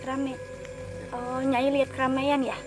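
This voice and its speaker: A woman hosting an on-location paranormal video, gently coaxing and questioning a possessed volunteer in an outdoor field. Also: high voice, monotone, measured pace. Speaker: host of paranormal channel. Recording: loud music behind, outdoor field. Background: loud music.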